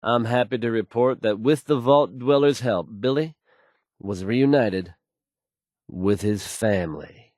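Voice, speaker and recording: An actor playing a self-assured, smooth male radio DJ in a game, delivering news with polished, wry showmanship. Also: medium pitch, a little slow, a little mellow, dry recording, studio quality, lightly acted. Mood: upbeat, confident. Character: confident, suave, upbeat male radio DJ.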